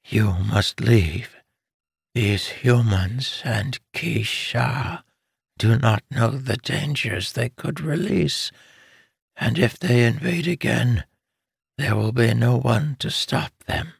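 A man voicing a dying winged mother creature in a storybook reading, weak, slow and pleading. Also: mid-range voice, vocal fry, husky, no echo, studio quality, pitched above the performer's own voice, heavily acted. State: weak from illness.

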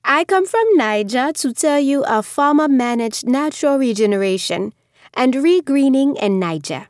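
Woman, formal measured announcer, dry close studio sound. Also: sits high, animated, darkish tone, no echo. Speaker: representative. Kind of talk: scripted roll-call reading.

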